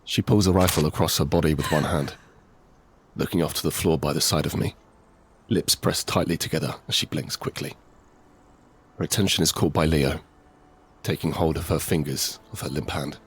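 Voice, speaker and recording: Deep-voiced, anguished wounded father. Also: husky. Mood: pained, grave narration. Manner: halting, repetitive, pleading in dialogue. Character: injured, desperate father pleading to save his family. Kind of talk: first-person audio drama, narration and dialogue.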